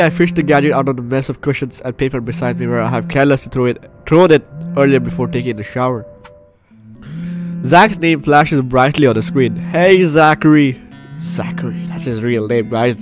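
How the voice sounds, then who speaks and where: A man hosting a gaming video while getting over the flu, reading and reacting aloud in a dramatic way with repeated phrases. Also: mid-range voice. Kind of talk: let's play commentary, reading game text aloud. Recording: game music behind the voice.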